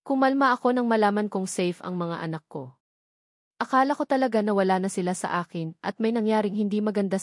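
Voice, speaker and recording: A woman narrates a first-person story from a script. Her voice sits at a middle pitch for a woman. The sound is very dry, with no room around it. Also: darkish tone, no room sound. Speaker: audiobook narrator.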